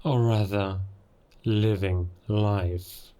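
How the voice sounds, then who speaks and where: Werewolf villain for audio roleplay, male actor: self-styled beast king, low-pitched, very unhurried, grandiose and menacing. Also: steady tone, mellow, slight background noise. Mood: grandiose menace. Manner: slow, deliberate, menacing, grandiose. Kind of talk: cinematic audio roleplay monologue.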